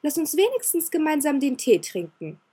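A woman voicing a cheerful, eager young noble girl who talks in a bright, pleading sing-song. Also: sits high, very animated, normal speed, voice pushed up. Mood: indignant.

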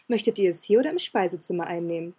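A woman's voice: on the low side, expressive, racing, mellow. Woman reading a courteous, amused demon butler. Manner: smooth, courteous, faintly amused. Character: suave, polite demon butler, adult male.

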